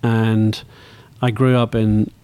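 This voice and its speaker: A man's voice: on the low side, little pitch movement, slow, mellow, clean tone, dry recording. Northern Irish man of sixty, reflective. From Northern Ireland. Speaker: interview guest, motor racing executive. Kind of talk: reflective conversational interview.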